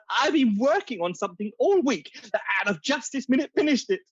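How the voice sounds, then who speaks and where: An actor playing a mad, good-hearted inventor in a radio pantomime, eccentric, giggly and husky. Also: mid-range voice, sing-song, moderate pace, highs cut off. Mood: chuffed, excited.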